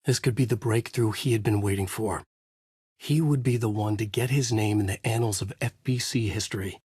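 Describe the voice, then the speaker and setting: An actor playing a brooding, haunted male writer, narrating a noir horror thriller in a measured, grave, dramatic way with a husky voice. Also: lowish voice, dark tone, no echo, studio quality. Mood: brooding narration.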